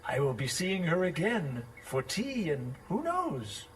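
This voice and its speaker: A man's voice: fairly high pitch, huge pitch swings, a little slow, a little hiss or hum, poor audio. A man playing a vain, dreamy village schoolmaster in an audio drama, speaking in a pompous, flowery, effusive way. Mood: lovestruck. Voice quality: theatrical, florid delivery.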